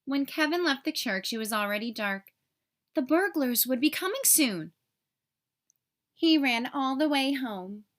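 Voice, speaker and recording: A woman narrating a children's story aloud in an expressive, clearly enunciated storytelling manner. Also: high-pitched, unhurried, pauses often. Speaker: storybook reader.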